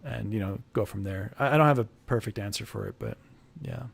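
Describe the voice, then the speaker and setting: A young man chatting casually with viewers on a drawing livestream, answering questions in a loose, halting way and restarting phrases as he sketches at his desk. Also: on the low side, vocal fry, slightly rough. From Iraq, grew up in the United States. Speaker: art streamer. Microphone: headphones, desk mic.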